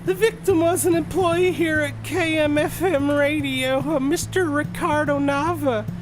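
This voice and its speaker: An actress playing a polite, talkative police officer giving a briefing in an audio drama. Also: female voice, high-pitched, steady tone, measured pace, over music. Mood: polite, chatty. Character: chatty, deferential police officer briefing a detective.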